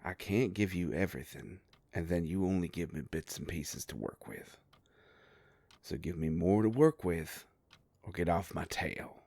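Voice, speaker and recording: A man playing a sardonic, grumbling werewolf private investigator with a soft heart, in an audio roleplay drama. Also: lively intonation, unhurried, dry recording, full character voice. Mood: exasperated, defensive. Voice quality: low gravelly voice.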